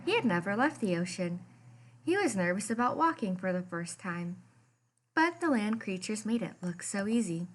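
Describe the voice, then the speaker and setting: A woman reading a children's picture book aloud as expressive storytime narration. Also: mid-range voice, normal speed.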